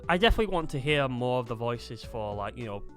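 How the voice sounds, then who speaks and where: A man's voice: mid-range voice, expressive, fast talker, a bit bright. Man chatting casually, music behind. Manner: rambling, repeats phrases. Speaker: co-host of a video game discussion show. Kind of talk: casual chat about games and films.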